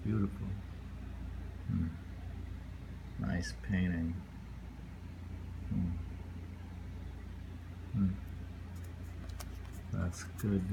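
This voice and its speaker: A man's voice: fairly low pitch, very slow, gravelly, pauses often, slight background noise, poor audio. A man reading short poems aloud and musing on them casually, often repeating phrases. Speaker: poetry reader.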